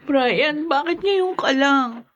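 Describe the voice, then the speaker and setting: Plaintive, high-voiced young Filipino mother. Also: lively intonation, slow pace, fairly bright tone, no echo, muffled recording, full character voice. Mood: hurt, tearful. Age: young adult. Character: hurt, meek young mother with newborn. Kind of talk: radio drama acting.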